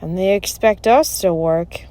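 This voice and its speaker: A woman's voice: fairly low pitch, measured pace, dark tone, lightly acted. A woman voicing a grumpy, challenging schoolboy in a storybook, blunt and griping. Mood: grumbling. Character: grumpy, challenging schoolboy who gripes and blurts.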